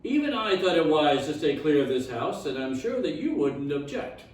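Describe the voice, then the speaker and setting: A man's voice: fairly high pitch, big pitch swings, moderate pace, voice pushed down. Man reading as grand storybook detective, very echoey. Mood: excited, self-assured. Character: famous male detective, confident and grandly declarative. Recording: echoey room, distant mic.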